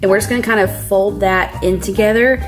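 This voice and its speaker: A woman's voice: medium pitch, fairly even intonation, fairly quick, soft background music. Scratchy-voiced woman, bubbly. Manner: chatty, bubbly, peppered with "like". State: scratchy voice from a cold. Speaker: cooking vlogger. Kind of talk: casual cooking tutorial. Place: home kitchen.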